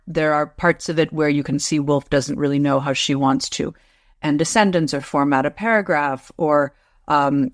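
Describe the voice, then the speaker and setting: A woman's voice: low-pitched, no room sound, studio quality. A middle-aged woman, a literature professor, answering interview questions in a careful, explanatory way. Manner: measured, explanatory, careful restarts.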